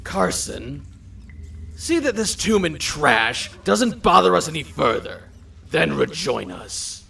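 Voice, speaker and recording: A man playing a haughty, sarcastic, commanding supernatural gang leader in an audio drama, condescending and formally spoken, with a creaky voice. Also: on the high side, sing-song, slow, sharp tone, distant mic, slight background noise, full character voice. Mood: disdainful. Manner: condescending, mock-polite, formal wording. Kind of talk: audio drama character acting.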